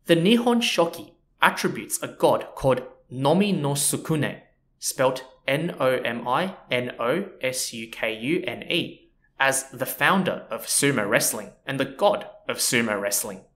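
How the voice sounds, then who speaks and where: Man of medium pitch, casual podcast host, talks history in a loose chatty style. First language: not Japanese. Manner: relaxed and chatty, with frequent "you know" and "so yeah".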